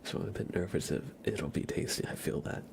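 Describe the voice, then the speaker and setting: A man streaming ASMR, chatting casually to viewers in a soft, breathy whisper with a slightly stuffy nose. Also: a little fast. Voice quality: breathy whisper with a creaky edge. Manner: soft-spoken, rambling, casual.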